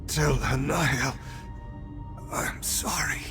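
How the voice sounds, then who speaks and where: An actor playing a dying, poisoned elf guardian in a video game, strained, solemn and halting. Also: male voice, medium pitch, music in the background. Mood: fading, solemn. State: weak, fading.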